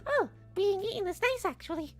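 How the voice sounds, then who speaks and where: Squeaky, whiny little donut creature. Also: expressive, faint music behind. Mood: coy, pleading. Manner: whiny, drawn-out pleading. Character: tiny pleading talking spider donut, flirty, squeaky. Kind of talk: silly improvised cartoon-like character voice.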